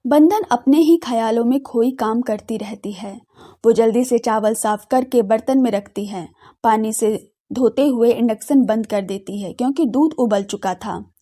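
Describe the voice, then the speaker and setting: A woman narrator reading a scripted audio drama. Her voice is fairly high with a mellow, soft tone. She tells the story expressively. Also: a little slow.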